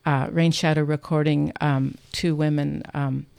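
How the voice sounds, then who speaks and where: A woman hosting a casual radio interview in a studio, enthusiastic but halting as she searches for words. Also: deep voice, flat delivery, vocal fry, darkish tone, smooth. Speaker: radio host. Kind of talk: casual unscripted on-air chat.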